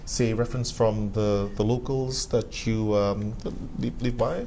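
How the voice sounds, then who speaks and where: A man hosting a casual interview, putting his questions haltingly. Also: on the low side, steady tone, mellow, slight background noise. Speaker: interview host.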